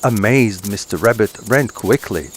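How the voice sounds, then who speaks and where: Male storyteller for children's folktale: lowish voice, unhurried, expressive, slight ambient noise. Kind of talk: scripted children's folktale narration.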